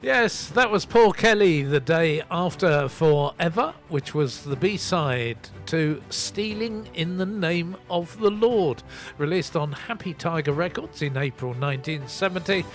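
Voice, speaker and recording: A man presents a soul music radio show in a casual, chatty way. His voice sits a little high, and he pokes fun at himself while running through record details. Some music plays behind him. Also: expressive, unhurried. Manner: chatty, self-mocking, reading out record details. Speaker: radio host and DJ.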